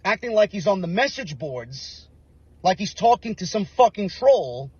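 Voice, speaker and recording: A man's voice: high voice, big pitch swings, measured pace, a little mellow, husky, dry recording, highs cut off. A man with a sore voice, ranting indignantly on a live stream, lecturing with rhetorical questions. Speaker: online commentator.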